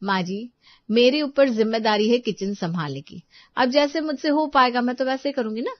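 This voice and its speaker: Practical young indian housewife acted by a woman, bit defensive, highish voice, story dub, dry sound. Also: lively intonation, normal speed, dry recording, muffled recording, lightly acted. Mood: firm. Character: thrifty young housewife, brisk, defensive, practical daughter-in-law.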